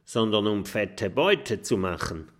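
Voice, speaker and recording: Ein Mann spricht einen erfahrenen, brummigen Piraten. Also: male voice, fairly low pitch, animated, takes it slowly, heavily acted. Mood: polite. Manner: grumbling. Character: experienced grumbling male pirate.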